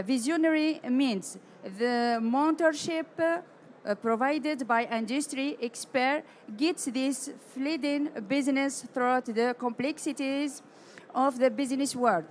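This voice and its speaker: High-voiced woman from Algeria, halting. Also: a little hiss or hum. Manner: halting, many fillers and restarts. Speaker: panel speaker. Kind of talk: prepared conference talk.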